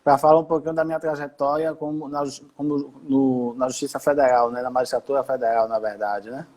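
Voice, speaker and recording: A man from Salvador, a federal judge telling his career story in a relaxed, rambling way with many restarts, on a video call. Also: mid-range voice, fairly quick. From Brazil, Salvador.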